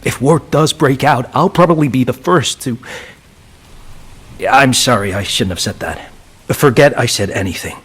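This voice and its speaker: A man playing a humble, earnest, self-doubting young soldier in a video game, with a husky, breathy voice. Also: medium pitch, moderate pace, fairly bright tone. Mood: dejected. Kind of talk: video game cutscene acting.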